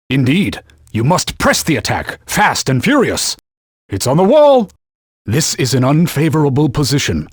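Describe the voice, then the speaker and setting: A man's voice: fairly high pitch, slightly rough, no room sound, clean studio sound, exaggerated voice. An actor playing a haughty male talking sword, a stern mentor who gives orders and scolds in old-fashioned knightly phrasing, for a video game. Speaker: game character.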